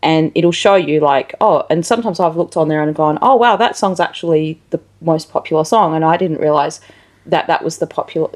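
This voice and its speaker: A woman's voice: low-pitched, fairly quick, darkish tone. An Australian woman hosting a casual interview, talking in an informal, rambling way. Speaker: interview show host.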